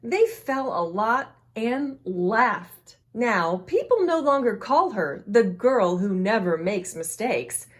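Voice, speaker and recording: A woman with a fairly high voice reads a children's storybook aloud. Her delivery is expressive and swings widely in pitch, animated for young listeners. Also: takes it slowly, few pauses. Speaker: children's storytime host and reader. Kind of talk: expressive read-aloud of a children's picture book.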